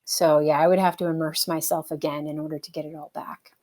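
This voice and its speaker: A middle-aged woman with a slightly low voice talks as an interview guest. She answers in a relaxed, thoughtful way, filling pauses with little hesitations and easy laughs. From United States. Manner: thoughtful, with frequent fillers and light laughs. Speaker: interview guest, helicopter pilot. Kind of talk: casual conversational interview.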